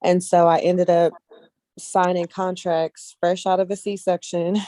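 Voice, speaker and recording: A woman's voice: on the low side, flat delivery, a little slow, dark tone, no echo. A woman speaking casually and earnestly as an interview guest, often repeating phrases for emphasis. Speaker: guest casting director, actress and model.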